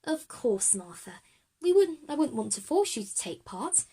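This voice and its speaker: A woman's voice: fairly high pitch, big pitch swings, moderate pace, mellow, husky, heavily acted. A woman voicing a friendly, enthusiastic female textiles teacher in an audio drama for children. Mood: reassuring.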